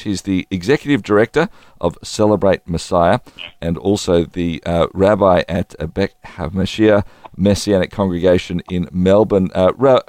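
Radio host, male, low voice, friendly and halting, dry studio sound. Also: no echo. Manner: friendly, halting, many restarts. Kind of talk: radio interview.

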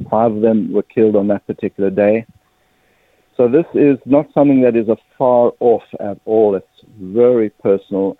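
An older man with a South African accent, answering interview questions earnestly and with feeling, pausing as he goes. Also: fairly low pitch, dry recording. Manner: earnest, emotional, measured with pauses. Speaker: ministry director, guest. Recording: tinny phone line.

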